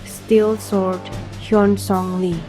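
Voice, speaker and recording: A woman's voice: mid-range voice, little pitch movement, extremely slow, over music. A woman playing an introspective young reader, narrating his story in scripted first-person audio drama style. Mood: measured.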